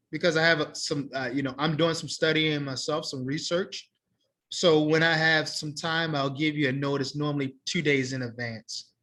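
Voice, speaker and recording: A man in his thirties, an English teacher, wrapping up an online class in a relaxed, plain way, repeating himself, heard through a laptop on a video call from a small room. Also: on the high side. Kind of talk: casual online class wrap-up. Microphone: laptop webcam on a video call.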